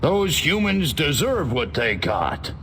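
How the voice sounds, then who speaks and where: An actor voicing an arrogant, sardonic alien invader passing as human in a video game, speaking with haughty, deliberate menace. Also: male voice, fairly high pitch, very animated, a bit bright, full character voice. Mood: dismissive, sly. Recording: noisy outdoor game ambience behind the voice.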